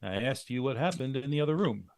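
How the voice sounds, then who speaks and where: Actor as a brisk, animated American adventurer. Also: male voice, medium pitch, creaky, a crowd in the background. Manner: clipped, insistent questioning. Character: brisk, no-nonsense male leader of adventurers, demanding answers. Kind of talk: vintage radio drama acting.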